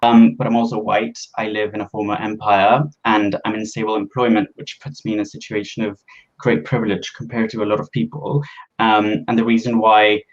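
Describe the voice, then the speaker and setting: A man from Venezuela, introducing himself as a panelist in a measured way with frequent ums. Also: lowish voice, flat delivery. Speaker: panelist, drug policy advocate. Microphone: video call. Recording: slightly dull lo-fi call audio.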